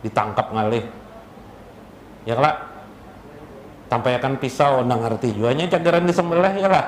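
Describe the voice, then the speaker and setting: A man's voice: mid-range voice, normal speed. A man with a Banjar accent giving an informal, jocular Islamic sermon, conversational and full of rhetorical questions. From South Kalimantan, Indonesia. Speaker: Islamic preacher. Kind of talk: informal sermon with jokes, mixing local speech and Arabic phrases. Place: indoor religious gathering. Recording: echoey room with faint background noise.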